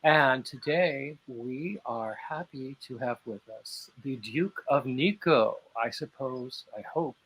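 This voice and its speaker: A man's voice: medium pitch, slow pace, few pauses, fairly bright tone, husky, poor audio. Chatty, joking man hosting a call. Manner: chatty, jokey, many fillers. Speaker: interview show host. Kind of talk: casual chat interview.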